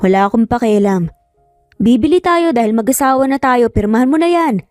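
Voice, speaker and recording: A woman's voice: a little high, expressive, fairly quick, darkish tone, dry recording, clean studio sound, faint music behind, natural voice. A woman voicing a curt, bossy, rich male employer in a romance audio story, commanding and dismissive. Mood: impatient, bossy.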